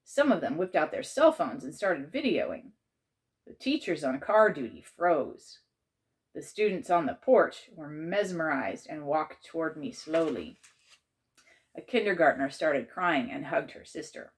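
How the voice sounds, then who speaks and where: A woman narrates a children's storybook in a fairly low register. Her delivery is animated and dramatized, with pitch that moves freely as she reads in a child's first person. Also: unhurried. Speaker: author and teacher reading aloud.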